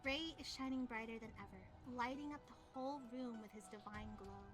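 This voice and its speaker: High-pitched excitable woman streamer. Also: a little fast. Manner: excitable, expressive. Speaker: streamer co-hosting a visual novel playthrough. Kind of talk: casual livestream chat and dramatic narration. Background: music.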